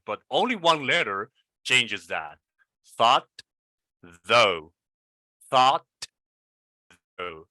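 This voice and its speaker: Slow, deliberate Venezuelan man coaching pronunciation. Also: mid-range voice, pushed and bright, no room sound. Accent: Venezuelan Spanish. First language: Spanish. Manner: drills words slowly and repeats them, deliberate. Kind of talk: pronunciation lesson with slow repeated model words.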